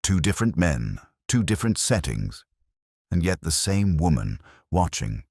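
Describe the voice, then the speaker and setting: Male reader as keen master detective: very deep, crisp, imperious, precise, dry studio sound. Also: very animated, moderate pace, a little mellow, dry recording, exaggerated voice. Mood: intent. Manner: formal, precise, emphatic, lecturing. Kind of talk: audiobook detective story dialogue.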